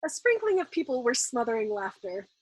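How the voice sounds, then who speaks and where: A woman narrates a novel with expression, like an audiobook reader. Her voice sits a little high with a husky grain, and it sounds close and dry. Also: very animated, brisk, dry recording. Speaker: storyteller reading a novel aloud.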